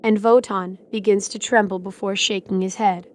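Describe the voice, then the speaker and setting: A woman's voice: mid-range voice, fairly even intonation, normal speed. A woman reading scripted dramatic narration as the narrator of an audio drama.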